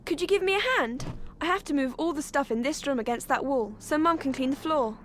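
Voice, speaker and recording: A woman's voice: high-pitched, a little fast, fairly bright tone, a little hiss or hum. An actress playing a chatty, practical teenage witch in a video game, casual and matter-of-fact. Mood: casual, mildly put-upon. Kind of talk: scripted video game cutscene acting.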